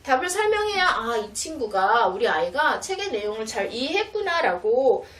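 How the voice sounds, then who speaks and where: A woman with a fairly high, lively voice walks parents through teaching materials step by step. Her delivery is informal and patient. She is recorded a little away from the mic in an echoey room. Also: normal speed. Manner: patient, step-by-step, acts out mother-child example dialogue. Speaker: educator presenting teaching materials. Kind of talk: informal explanatory product demonstration for parents. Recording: roomy, distant mic, faint noise.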